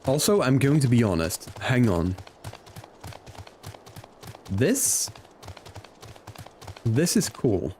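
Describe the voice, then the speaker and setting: A man's voice: medium pitch, big pitch swings, drawn-out words, long pauses, a little mellow, slight background noise. A man livestreaming a video game, chatting in an easygoing way and reacting aloud to the game and his viewers. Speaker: game streamer. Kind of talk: casual livestream chat while playing. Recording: music behind the voice. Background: game music.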